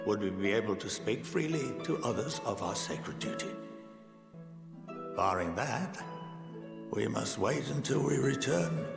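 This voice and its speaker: A man playing an erudite, measured scholar and statesman in a video game, speaking formally, like a lecture, with gentle wry humour. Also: on the low side, expressive, vocal fry, heavily acted. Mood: measured, didactic. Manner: formal, eloquent, didactic. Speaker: game character, scholar and council member. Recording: game audio with echo, loud music. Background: loud music.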